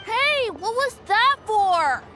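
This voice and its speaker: A woman's voice: very high-pitched, sing-song, takes it slowly, loud music behind the voice, full character voice. A woman voicing a plucky, indignant little child who sells toys in a video game.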